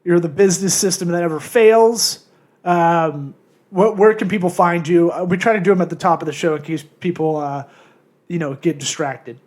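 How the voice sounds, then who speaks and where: Male podcast host, notably high-pitched, mumbly and jokey, loose interview banter at his own expense. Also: normal speed, darkish tone. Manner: self-deprecating, joking, profane. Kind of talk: casual comedic interview chat.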